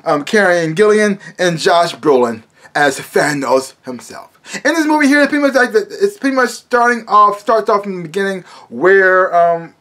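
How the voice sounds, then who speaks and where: Higher-voiced American man reviewing casually. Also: lively intonation, moderate pace, fairly bright tone. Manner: emphatic, repeats words and phrases. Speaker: movie review vlogger. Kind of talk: casual spoken movie review to camera. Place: small room at home, indoors.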